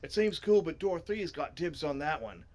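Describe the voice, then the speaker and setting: A man plays a boy who is chummy and keen, with an Australian accent. His voice sits fairly high, and he talks in friendly slang like a sporty kid in a story game. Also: expressive, moderate pace, a little mellow, natural voice. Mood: chummy, eager. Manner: matey, eager, slangy. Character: chummy boy with big feet who loves running.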